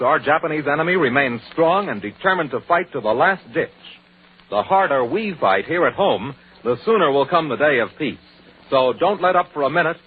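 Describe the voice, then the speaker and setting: A man's voice: mid-range voice, slightly rough. Tinny 1940s American male radio announcer. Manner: formal, emphatic, declamatory.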